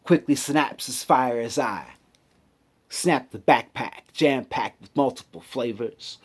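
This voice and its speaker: A male spoken word poet with a mid-range voice recites his verses in a hip-hop cadence. His delivery is rhythmic and emphatic, set off by deliberate pauses between short phrases. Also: mid-range voice, breathy edge. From United States city.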